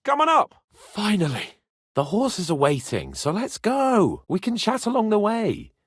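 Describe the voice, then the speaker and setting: An actor playing a haughty, brash young medieval nobleman in a video game, cocky and teasing, witty, hot-tempered and quick to swear. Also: high-pitched, wildly swooping pitch, slightly rough, no echo, clean studio sound, full character voice. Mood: teasing, impatient. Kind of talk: dramatic video game voice acting.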